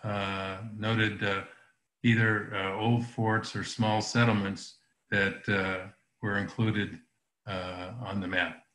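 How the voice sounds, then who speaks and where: A man's voice: fairly low pitch, little pitch movement, slow, a bit bright. A man giving an online talk about a map, speaking hesitantly with many fillers. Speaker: presenter. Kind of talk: online lecture. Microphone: video call. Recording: roomy echo on call audio.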